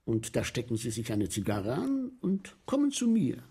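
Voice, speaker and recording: An actor in a radio play as an eager, obliging male sewer inspector with a macabre streak, telling his tales deliberately and insinuatingly. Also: medium pitch, huge pitch swings, dark tone, slightly rough, exaggerated voice. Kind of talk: 1970s German radio play acting.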